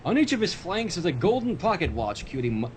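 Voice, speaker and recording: A man's voice: big pitch swings, brisk. A man hosting a livestream, reading a story aloud and breaking off for joking asides. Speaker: livestream host reading fan fiction aloud. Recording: noisy livestream audio with low rumble.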